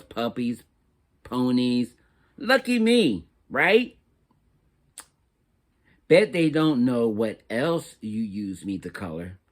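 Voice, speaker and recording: A man voicing an embarrassed, resentful crayon in a storybook, muttering and sarcastic. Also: medium pitch.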